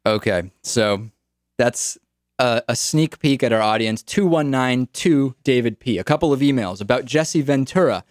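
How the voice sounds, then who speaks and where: A man's voice: mid-range voice, animated, normal speed, dry recording, clean studio sound. A young man hosting a political talk radio show, casual and wry, often repeating his words.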